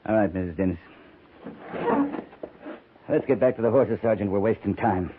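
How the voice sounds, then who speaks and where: Actor as a terse cavalry captain, noisy old radio. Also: male voice, fairly low pitch, natural voice. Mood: firm, impatient. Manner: terse, measured, matter-of-fact. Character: male cavalry captain, firm, plain-spoken, stern but compassionate. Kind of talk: 1950s Western radio drama acting. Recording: noisy, narrow-band 1950s radio broadcast.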